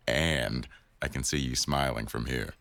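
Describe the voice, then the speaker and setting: A man playing a teasing, bossy but doting newsroom boss in an intimate boyfriend roleplay audio, with a wry, unhurried delivery. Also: a bass voice, creaky, a bit bright, dry recording, heavily acted.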